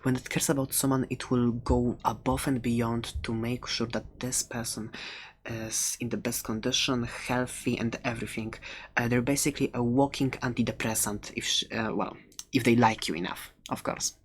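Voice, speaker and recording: A very deep female voice a woman acting as a quirky seller of fantasy creatures in an ASMR roleplay. She chats playfully and hesitantly, close to the mic, with faint background hiss and small clicks. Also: little pitch movement, moderate pace. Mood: playful, chatty. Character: chatty, quirky seller of dragon eggs, fond of dangerous pets. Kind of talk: ASMR roleplay, casual rambling talk.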